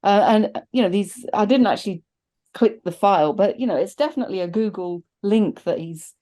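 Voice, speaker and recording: Mellow-voiced middle-aged woman, enthusiastic. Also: mid-range voice, fairly quick. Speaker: film review panelist. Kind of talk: casual review chat. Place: indoors on a video call.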